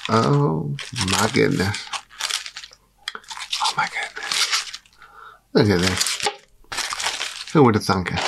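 A man in his forties talks through a meal in a dark room, his low voice raspy and gravelly from a cold. He munches and chats casually between bites. The recording is noisy, with clicks under his voice. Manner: chatty, eats and talks with mouth full. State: hoarse from a cold. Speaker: food vlogger. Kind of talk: casual mukbang chat.

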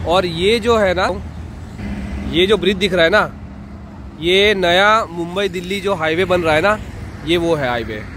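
Chatty man vlogging beside a highway. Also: measured pace, long pauses, fairly bright tone. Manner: explaining, chatty, pointing things out. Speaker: vlogger, father. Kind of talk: casual family vlog. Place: roadside outdoors by a highway. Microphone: phone held at arm's length. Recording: traffic and outdoor noise.

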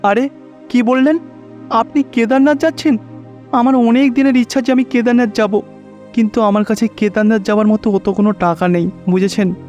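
A man's voice: very high voice, steady tone, moderate pace, darkish tone, dull audio. A man voicing a pious, poor older villager in a cartoon, pleading and exclamatory. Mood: excited, wistful. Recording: faint music behind.

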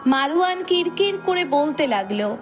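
A woman narrates a scripted story in a high-pitched voice. Faint music runs beneath her reading. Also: lively intonation, moderate pace.

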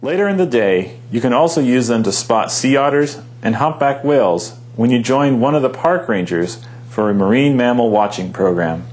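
A man's voice: medium pitch, moderate pace, few pauses, a little mellow. A man working as a park ranger, giving visitors upbeat scripted advice in a guide's delivery. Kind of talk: scripted informational narration.

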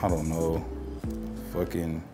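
A man's voice: very deep voice, monotone, slow. An American man in his thirties, a guest, chats casually in a slangy, hesitant, sometimes profane way. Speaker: guest, music artist. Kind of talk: casual chat. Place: home-style kitchen, indoors. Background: music.

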